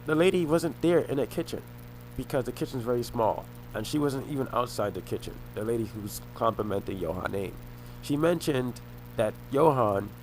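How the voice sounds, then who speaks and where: A young man talking to the camera in a rambling monologue, often halting, repeating himself and restarting phrases. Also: medium pitch, slight background noise, poor audio. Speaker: vlogger.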